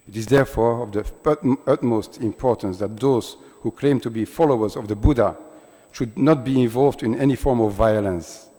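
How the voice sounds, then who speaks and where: A man reading a prepared conference speech in a measured, formal manner. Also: mid-range voice, mellow. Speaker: conference speaker. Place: large conference hall. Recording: long hall reverb.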